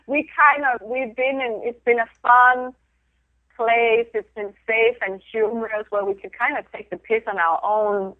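Middle-aged woman from denmark on a tinny phone line, a bit high, laughs a lot, lots of restarts. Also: fairly even intonation, a little slow, dry recording. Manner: reflective, laughs often, many restarts. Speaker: interview guest, photographer of women. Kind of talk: casual conversational interview.

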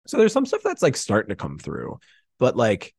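A man chatting casually in an excitable, effusive way. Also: medium pitch, moderate pace, creaky, dry recording, clean studio sound. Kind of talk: casual chat.

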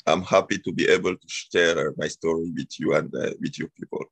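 A man's voice: deep voice, steady tone, brisk, gravelly, fairly bright tone, breathy edge, no room sound. A man, telling his story as an interview guest, hesitant with many fillers and restarts. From Cameroon.

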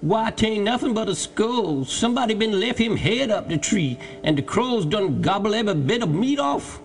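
A man's voice: high-pitched, expressive, normal speed, voice pushed up. A man voicing an eager, fearful male servant in an audiobook story, calling out in broken rustic dialect. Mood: puzzled. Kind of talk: dramatized audiobook character.

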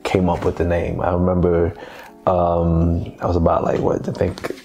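Male interview guest from Haiti, native Creole speaker. Low, creaky voice, relaxed and slangy, repeats himself for emphasis. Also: moderate pace. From Haiti, later Boston. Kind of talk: casual interview chat.

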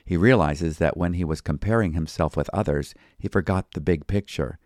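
A man reading a scripted devotional commentary as a pastor, in a measured, formal way. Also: low-pitched, darkish tone, dry recording, clean studio sound. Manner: measured, formal, citing chapter and verse.

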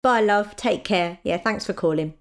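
A woman's voice: medium pitch, moderate pace, lightly acted. A woman playing a busy mother of four in an audio drama, wry, patient and dry in her delivery. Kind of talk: naturalistic audio drama dialogue.

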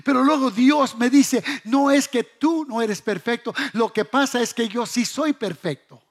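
Raspy, high-pitched male preacher. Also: measured pace. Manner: emphatic, rhetorical questions, repeating phrases for effect; swings between hushed lines and shouted exhortation. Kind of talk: fervent sermon to a congregation.

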